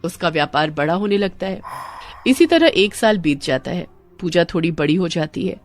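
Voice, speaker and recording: A woman's voice: fairly low pitch, lively intonation, normal speed. A woman narrating a scripted story as a storyteller, with music behind her.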